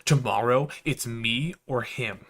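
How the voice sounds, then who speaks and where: A man's voice: mid-range voice, slow, fairly bright tone, slight background noise. Man reading as a weary, wry young lawyer. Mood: grim, reflective. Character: beleaguered young male lawyer, dry and weary.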